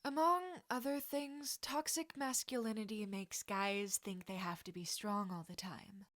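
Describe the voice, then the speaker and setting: A woman voicing a confident teenage witch girl in a story, bossy but caring and quick-witted. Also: mid-range voice, a bit bright, close to an everyday voice. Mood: matter-of-fact. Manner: firm, casual, non-judgmental.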